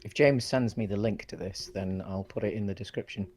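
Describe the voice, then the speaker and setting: A man's voice: on the low side, fairly quick, darkish tone, breathy edge. A man co-hosting a casual chat about films, agreeable and a little tentative, often putting in short interjections. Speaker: podcast co-host.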